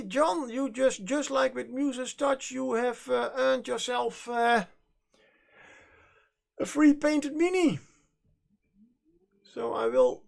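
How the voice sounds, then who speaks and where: Very creaky, unhurried Dutch-accented streamer. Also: high voice. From Netherlands. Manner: hesitant, rambling, self-correcting. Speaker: livestream host and miniature painter. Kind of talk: casual livestream chat while painting.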